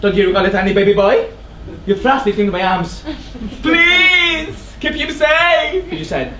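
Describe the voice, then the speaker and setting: A man's voice: very high-pitched, fairly even intonation, unhurried, bright, forceful tone, heavily acted. A man playing an insistent messenger in a stage tragedy, coaxing an old friend in a theatrical manner. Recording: echoey theatre, distant mic, audience noise.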